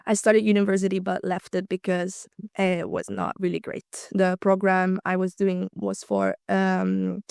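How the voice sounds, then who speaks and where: A woman's voice: mid-range voice, unhurried, vocal fry, darkish tone, clear, resonant tone, no room sound, clean studio sound. A woman in her twenties from Slovakia, a native Hungarian speaker, chatting casually as a podcast guest with frequent ums and light laughs. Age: 20s. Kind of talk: casual conversational interview.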